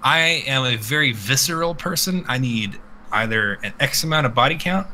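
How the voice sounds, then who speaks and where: A man with a very bright, sharp, forceful voice chats on a podcast. He talks bluntly, swears freely and rambles from point to point, with faint noise behind him. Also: medium pitch, lively intonation, moderate pace. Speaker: podcast host. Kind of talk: casual podcast chat. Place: live multistreamed podcast.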